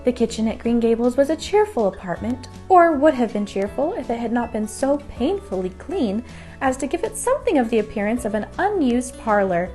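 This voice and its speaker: A woman's voice: on the high side, very animated, a little fast, mellow. A woman narrating a children's bedtime story from a script. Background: music.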